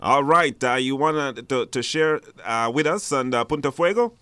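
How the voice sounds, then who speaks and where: A Belizean man with a bright, forward voice hosts a live call-in show. He speaks informally and feels his way through questions, recorded dry and close. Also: medium pitch, normal speed, clean tone, no room sound. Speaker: talk show host.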